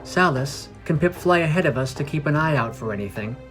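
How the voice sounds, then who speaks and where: Man reading as brisk female archer over music. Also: a little high, expressive, a bit bright. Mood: brisk, questioning. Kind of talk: storybook character dialogue.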